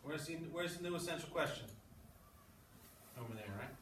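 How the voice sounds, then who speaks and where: A man's voice: fairly high pitch, animated, normal speed, fairly bright tone, distant mic. A man teaching a class as an English teacher, explaining things and checking in often with short questions. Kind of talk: classroom teaching and read-aloud. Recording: echoey classroom, off mic, background noise.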